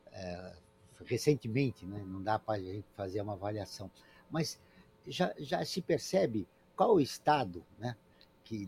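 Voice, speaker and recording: A man's voice: lowish voice, mellow, slight background noise. A man on a panel asking a guest a question, with rising emphasis. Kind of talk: panel discussion.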